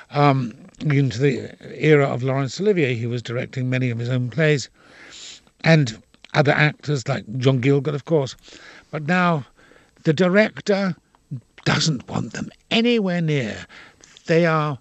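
A man's voice: a little high, dry recording. An older man, an actor and playwright with a Dublin accent, talking frankly and at length in an interview. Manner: expansive, frank, opinionated.

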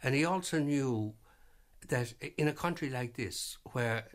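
A man, speaking hesitantly as an interview guest in a vintage radio program. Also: medium pitch. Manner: halting, many fillers and restarts. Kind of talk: reflective interview talk.

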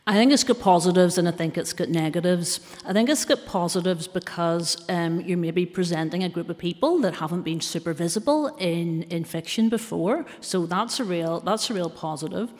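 A woman's voice: fairly low pitch, moderate pace, hardly any pauses, a little mellow. A woman in her fifties from Belfast with a Belfast accent, an author discussing her writing in an articulate, wry way in a marbled hall. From Belfast, Northern Ireland. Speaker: author guest. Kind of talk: literary discussion. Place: large marbled sculpture hall in a gallery. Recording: long reverb of a large stone hall.

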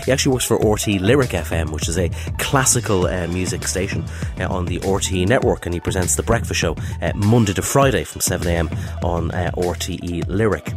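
A man's voice: low-pitched, fast talker, hardly any pauses, over music. Snuffly Irish man with a cold. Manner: chatty, warm praise with many ums and uhs. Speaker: podcast host and interviewer. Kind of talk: casual scripted intro and interview.

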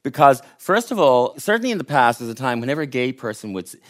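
A man's voice: mid-range voice, sing-song, quick pace. A man hosting a panel discussion, asking probing questions. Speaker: host.

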